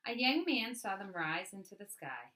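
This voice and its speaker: A woman reading a picture book aloud as a storyteller, with expressive narration and dramatic emphasis on key words. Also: medium pitch, normal speed.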